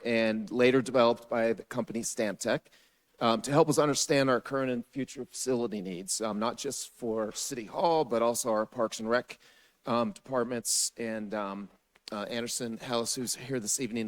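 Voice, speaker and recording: A man with a hoarse, raspy voice giving a steady, businesslike staff briefing to a city council in council chambers. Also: mid-range voice, normal speed.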